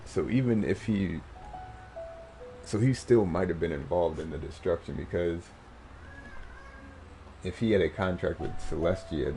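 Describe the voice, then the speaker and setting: A man's voice: low voice, vocal fry, mellow, poor audio. A man giving casual live game commentary as a streamer, slangy and musing aloud.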